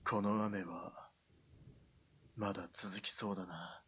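A man playing an irritated, gruff military officer who gives curt, impatient orders. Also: fairly low pitch, steady tone, slow, fairly bright tone, a little hiss or hum. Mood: weary.

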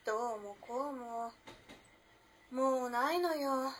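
Woman playing an enigmatic young woman, high voice, poised, teasing, old-fashioned polite phrasing, radio drama. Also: slow pace, slightly rough, exaggerated voice. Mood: cool, curious. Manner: cool, feminine old-fashioned politeness, playful. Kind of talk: Japanese radio drama acting.

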